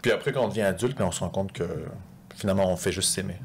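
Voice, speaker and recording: A man's voice: sits low, very fast, a little hiss or hum. A young man from France, a podcast guest chatting casually and thinking aloud with plenty of fillers. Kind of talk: casual chat.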